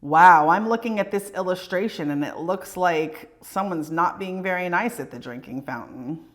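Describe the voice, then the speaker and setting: A woman reading a children's picture book aloud in an expressive, emphatic way meant for young children. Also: on the low side, normal speed. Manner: animated, emphatic storytelling aimed at young children. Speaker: read-aloud presenter for elementary students.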